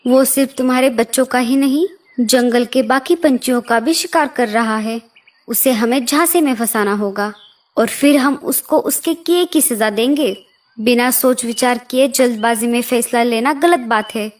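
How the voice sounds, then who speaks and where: A woman's voice: a little high, moderate pace, natural voice. A woman voicing a worried but brave mother sparrow in an earnest, emotive way for a children's cartoon story. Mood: cautioning.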